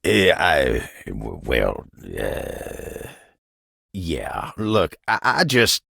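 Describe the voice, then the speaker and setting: A humble talking table from a video game, a hardworking country soul with a very deep, husky Southern American drawl. He talks slowly and modestly in plain folksy phrases, hesitating as he goes, in a close, dry recording. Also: huge pitch swings, bright, forceful tone, no room sound, heavily acted. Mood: flustered. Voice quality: gravelly, husky. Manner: folksy, self-effacing, hesitant. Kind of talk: video game character voice acting.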